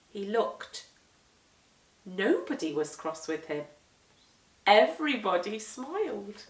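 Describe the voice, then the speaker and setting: A woman reading a children's story aloud with expressive, dramatic emphasis, moving between hushed and swelling delivery. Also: on the high side. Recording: echoey room, distant microphone.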